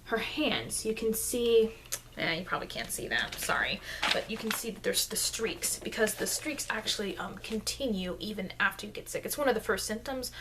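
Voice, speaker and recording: A woman playing a friendly female vampire in an ASMR roleplay, speaking softly and hesitantly as she rambles through explanations with murmured asides. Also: animated, moderate pace, lightly acted. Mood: earnest, reminiscing. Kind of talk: ASMR roleplay, soft-spoken improvised explaining. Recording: noisy low-grade mic with clicks.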